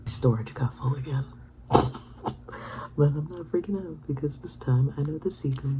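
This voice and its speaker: A woman in her thirties whispering a personal vlog, halting and reflective. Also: sits low, dark tone, slight background noise, rough recording. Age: 30s. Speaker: vlogger.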